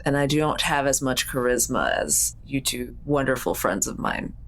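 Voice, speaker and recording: A woman's voice: extremely low pitch, expressive, normal speed, gravelly. A woman playing in a tabletop roleplaying game, chatting and improvising in character with dry, wry humour. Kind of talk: casual in-character improvised chat.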